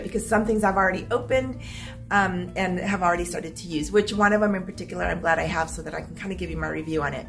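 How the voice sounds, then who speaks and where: A middle-aged woman filming a casual unboxing vlog at home, upbeat and chatty, her voice slightly hoarse as if she is losing it. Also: mid-range voice. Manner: chatty and upbeat, clears her throat now and then. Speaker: vlogger. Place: small home room with stacked boxes, indoors.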